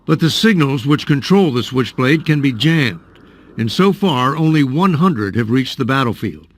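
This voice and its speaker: A male television correspondent narrating a news report and asking crisp, probing questions. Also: mid-range voice, animated, normal speed.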